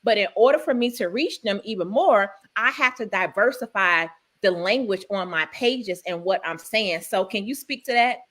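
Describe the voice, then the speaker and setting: A woman's voice: mid-range voice, expressive, moderate pace, a bit bright. Woman, host, live stream, earnest, uses fillers.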